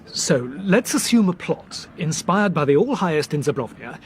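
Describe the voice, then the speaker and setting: An actor playing a brisk young Englishman who reasons deliberately and articulately, in a British radio drama. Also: on the high side, very animated. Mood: methodical, sceptical. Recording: noisy train background ambience.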